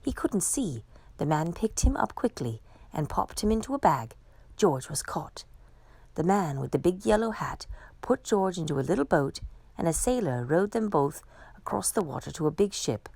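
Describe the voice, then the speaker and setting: A woman reading a children's picture book aloud with expressive, dramatic storytelling. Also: fairly low pitch, moderate pace, vocal fry, slightly rough, no room sound.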